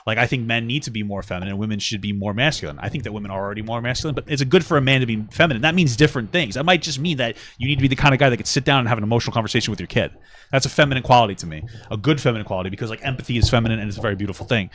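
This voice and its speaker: A man co-hosting a podcast, talking in casual, joking banter with a smooth voice and lots of fillers. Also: medium pitch, very fast, a little hiss or hum. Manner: animated, joking, rambling with many fillers. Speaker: podcast co-host.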